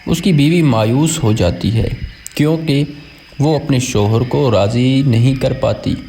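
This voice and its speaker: A man's voice: mid-range voice, unhurried, dark tone, a little hiss or hum. A man telling a children's moral story in a plain conversational way, voicing the dialogue within his narration.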